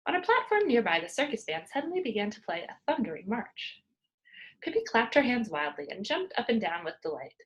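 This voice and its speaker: A woman with a fairly high voice reads a children's story aloud. Her delivery is very animated and dramatic, as a teacher would read to a young class. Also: fairly quick, lo-fi sound.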